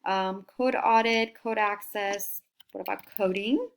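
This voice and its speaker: A woman's voice: mid-range voice, fairly even intonation, unhurried, gravelly, a little hiss or hum. Upbeat woman coaching through a tutorial. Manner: upbeat, encouraging, talks while clicking through steps. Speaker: career coach and instructor. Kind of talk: screen-recorded tutorial.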